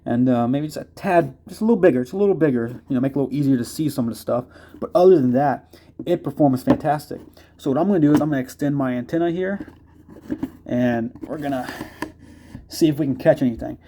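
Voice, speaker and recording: A man's voice: mid-range voice, fast, mellow, a little hiss or hum. An American man giving a casual, unscripted product review as a video host, explaining things conversationally. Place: indoors.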